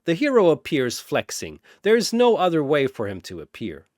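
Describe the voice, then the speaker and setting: A man's voice: a little high, huge pitch swings, moderate pace, darkish tone, no room sound, studio quality. A man giving dramatic scripted narration as a storyteller.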